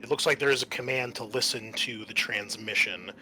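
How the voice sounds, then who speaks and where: A man's voice: mid-range voice, a little fast, pushed and bright, a little hiss or hum. A man narrating a tabletop game as game master in a conversational, explanatory way.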